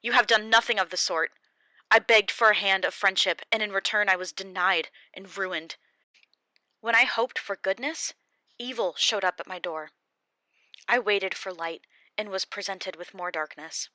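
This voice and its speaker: A woman's voice: lowish voice, moderate pace, pushed and bright, dry recording. A woman voicing Job, an anguished, defiant old man, in an impassioned, raised pleading delivery for an audiobook. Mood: defiant grief. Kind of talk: audiobook character reading.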